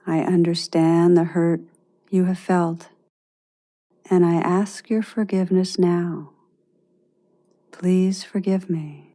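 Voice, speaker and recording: Woman, meditation guide, lowish pitch, very even intonation, gentle, measured phrasing. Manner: gentle, measured, with long pauses.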